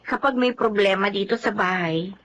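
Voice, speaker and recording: A woman's voice: mid-range voice, moderate pace, a bit bright, close to an everyday voice. An actress playing a young woman trying to become less selfish, speaking earnestly and reflectively. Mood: cheerful. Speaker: lead character in a radio drama. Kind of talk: radio drama acting. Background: music score.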